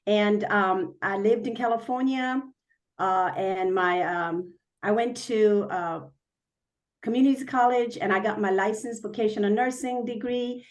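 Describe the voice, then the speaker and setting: A woman's voice: mid-range voice, a little slow. A woman from Korea, Korean her first language, a city councilwoman giving an earnest campaign speech with many fillers and restarts.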